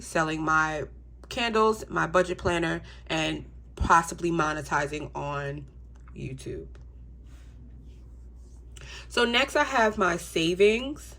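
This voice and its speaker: A young adult woman with a congested voice, talking casually to her viewers in a budgeting vlog with frequent ums. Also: lowish voice. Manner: chatty, relaxed, frequent ums. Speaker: budgeting YouTuber.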